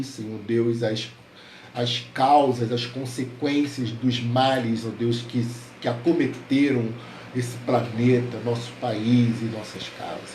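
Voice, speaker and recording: A male pastor whose voice is a bit nasal from a cold speaks from home into a headset mic. His tone is dark and mellow, and he prays fervently with many repeated invocations before addressing his congregation. The room is echoey, with faint background noise. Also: mid-range voice. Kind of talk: prayer and devotional address.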